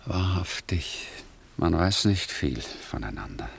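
A man's voice: sits low, sing-song, slow pace, creaky, slightly rough, full character voice. A man acting in a 1960s German radio drama as a tired, troubled and brooding older father. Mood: weary.

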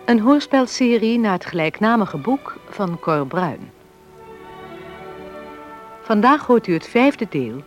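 Male announcer for radio serial opening, measured delivery with lively intonation, music underneath. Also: sits high, muffled recording. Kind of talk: scripted radio serial introduction.

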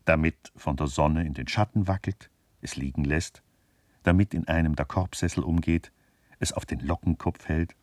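A man's voice: very deep voice, normal speed, a little mellow, no echo. A man reading literary scripted narration for a radio play.

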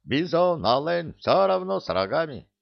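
A man's voice: lowish voice, very animated, takes it slowly, gravelly, full character voice. A man voicing a whining, rambling huckster spirit from a comic fantasy audiobook, with a Georgian accent and broken grammar. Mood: indignant. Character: hook-nosed Caucasian-style huckster spirit in Native costume, whining. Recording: dull lo-fi audio.

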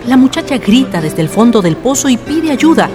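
A woman's voice: fairly high pitch, very animated, normal speed. A woman narrating a scripted radio drama in a literary storytelling style. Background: guitar music.

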